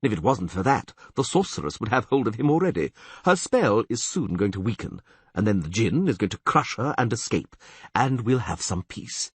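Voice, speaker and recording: A man's voice: fairly low pitch, sing-song, moderate pace, husky, no room sound. A man voicing a smug, lecturing bald male priest in a fantasy audiobook. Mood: scolding. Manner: lecturing, know-it-all.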